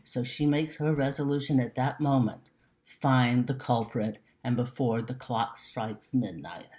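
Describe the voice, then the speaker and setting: A woman's voice: very deep voice, a little slow, a little mellow. An older woman in her sixties speaks as an author giving a prepared introduction to her mystery novel. She is recorded at desk distance on a webcam in a room at home. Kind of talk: prepared book introduction to camera. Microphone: laptop webcam at desk distance.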